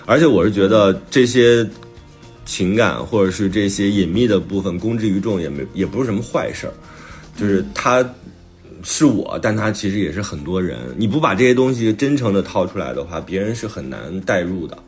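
A man's voice: on the low side, lively intonation, brisk, faint music behind. A man who is an author and podcast co-host, talking reflectively and explaining his thoughts in conversation.

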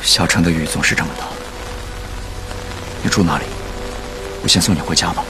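A man acting in a radio drama as a composed, considerate young gentleman from the upper city, speaking in a measured, concerned way. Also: low-pitched. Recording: prominent music behind the voice.

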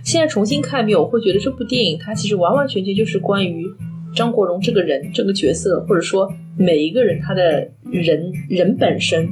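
A woman's voice: medium pitch, fairly quick, music in the background, low-quality recording. A woman co-hosting a podcast, talking through a film in a reflective, analytical, conversational way. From Shanghai, China. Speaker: podcast co-host.

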